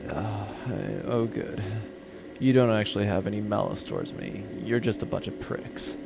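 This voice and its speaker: An actor playing a weary, sardonic pirate accountant in pain, with dry, wordy deadpan delivery in a comedy audio drama. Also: male voice, on the low side, moderate pace, vocal fry, background noise, heavily acted.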